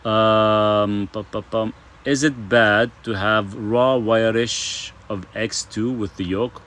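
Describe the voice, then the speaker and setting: A man's voice: fairly low pitch, fairly even intonation, slow, a bit bright. A middle-aged man from Lebanon hosting a casual live stream, reading viewer comments aloud and answering them in a chatty way, full of fillers.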